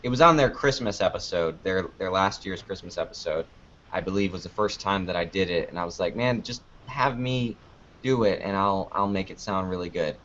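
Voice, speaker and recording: A man with a fairly low voice answers interview questions casually. He talks in a joking, anecdotal way and voices bits of remembered conversations. The sound is somewhat dull and lo-fi. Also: fairly quick, a bit bright, slight background noise. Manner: joking, anecdotal, acts out quoted exchanges. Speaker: podcast producer and video creator being interviewed. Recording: dull lo-fi audio.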